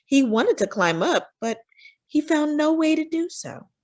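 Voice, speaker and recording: A woman's voice: high-pitched, very animated, unhurried, a little mellow. A woman reading a children's storybook aloud as narrator, phrasing for young listeners with dramatic emphasis.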